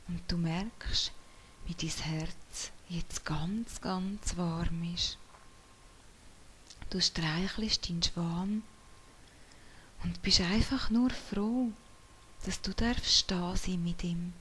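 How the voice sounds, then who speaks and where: Slow whispering woman. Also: lowish voice, slight background noise. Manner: soft whispering; slow, soothing delivery. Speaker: meditation guide. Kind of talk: guided meditation.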